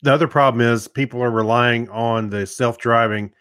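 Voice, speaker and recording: A man's voice: medium pitch, steady tone, moderate pace, fairly bright tone, smooth, no room sound, studio quality. A man co-hosting a podcast, chatting casually, rambling and laughing often. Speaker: podcast co-host. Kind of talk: casual banter.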